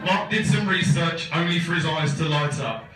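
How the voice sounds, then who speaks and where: A man's voice: fairly high pitch, moderate pace, over music. A man in his thirties giving a teasing best man speech at a wedding, with a dry joking delivery. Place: large wooden barn wedding hall. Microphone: phone camera far back in crowd. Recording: echoey hall from far off, laughing crowd.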